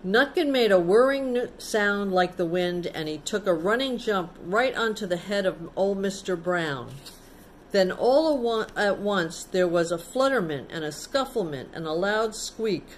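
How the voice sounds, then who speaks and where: A woman teacher reading a picture book to children, pausing to explain things simply and ask the young listeners questions. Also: medium pitch, animated, a little hiss or hum, rough recording. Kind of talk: storybook read-aloud with chatty asides to children.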